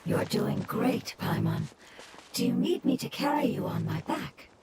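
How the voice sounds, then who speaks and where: A woman voicing a small, gentle plant spirit who speaks softly and formally, in video game dialogue over game music. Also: mid-range voice, lively intonation, measured pace, heavy background noise, natural voice. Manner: soft, formal, wistful.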